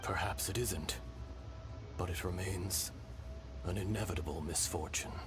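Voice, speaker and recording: A man playing an ancient, weary male dragon spirit who speaks gravely and formally in a fantasy game. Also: low voice, fairly even intonation, unhurried, loud music behind the voice. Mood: solemn, mournful. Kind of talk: scripted fantasy game dialogue.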